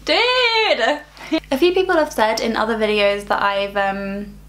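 A woman's voice: a little high, a little slow, fairly bright tone, a little hiss or hum. A woman in her twenties hosting a reaction channel, chatting casually and upbeat while sick and slightly nasal. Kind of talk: casual music reaction chat. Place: small bright room with plants.

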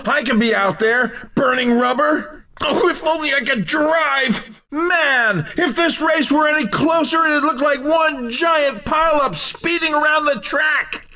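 A man's voice: very high-pitched, bright, forceful tone, distant mic, full character voice. A man playing a brash, wisecracking race commentator in a video game, with an emphatic, teasing showman's delivery.

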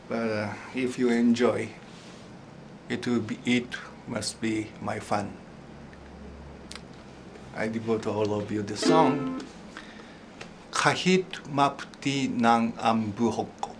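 A middle-aged man speaking slow, halting English with fillers and restarts, introducing a song from his living room at home. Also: medium pitch, steady tone, background noise. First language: not Tagalog. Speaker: ukulele vlogger. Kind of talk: casual spoken intro to a song. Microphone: camera in front at close range. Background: ukulele playing.

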